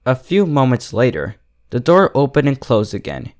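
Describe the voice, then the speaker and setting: A man's voice: medium pitch, sing-song, moderate pace, darkish tone. A man reading a fan fiction story aloud as a dramatic narrator.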